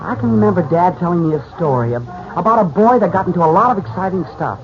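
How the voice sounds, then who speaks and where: Eager, high-voiced American boy. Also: expressive, fast. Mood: wistful. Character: eager, brash American boy, good-natured son. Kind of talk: 1940s radio acting. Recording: muffled, noisy old radio recording.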